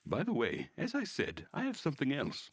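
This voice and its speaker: Nerdy teenage boy for a visual novel, acted by a man: raised pitch, chirpy, eager, slightly sly. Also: huge pitch swings, normal speed, slightly rough, dry recording. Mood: eager, friendly. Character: eager, sly young male electronics club nerd.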